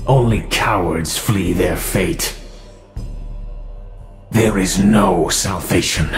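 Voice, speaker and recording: A low-voiced, ancient demonic archer for a video game. He is grim and vengeful, and he relishes tormenting his prey. Every phrase comes extremely slowly, with solemn menace, over faint music. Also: full character voice. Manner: solemn, menacing, deliberate delivery. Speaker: voice actor for a video game character. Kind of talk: dramatic video game voice lines.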